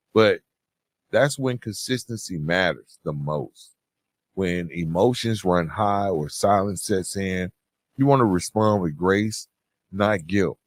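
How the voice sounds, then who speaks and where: A man hosting a solo podcast, giving advice and encouragement in an earnest, deliberate way with emphatic phrasing. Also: fairly low pitch, no echo. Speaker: podcast host.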